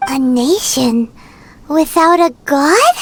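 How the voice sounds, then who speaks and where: Squeaky, tiny, excitable fairy companion. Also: female voice, slow pace, slight background noise, exaggerated voice. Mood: puzzled. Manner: cutesy, refers to itself in third person. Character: tiny childlike female floating fairy companion, curious and excitable. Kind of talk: video game cutscene acting.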